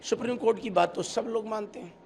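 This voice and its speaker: A male politician explaining his view in an interview, in deliberate short phrases. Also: sits high, creaky, a little mellow, a little hiss or hum.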